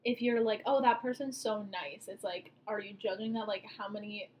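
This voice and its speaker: A woman's voice: mid-range voice, fairly even intonation, normal speed, a little mellow, slight background noise. A woman in her twenties co-hosting a casual podcast, thinking aloud and rambling with lots of filler words. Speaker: podcast co-host.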